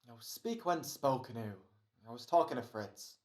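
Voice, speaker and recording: An actor playing a tired, wisecracking but soft-hearted radio actor in an old-time American radio comedy. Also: medium pitch, big pitch swings, normal speed, close to an everyday voice. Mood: weary, grumbling. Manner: weary, sardonic, slangy.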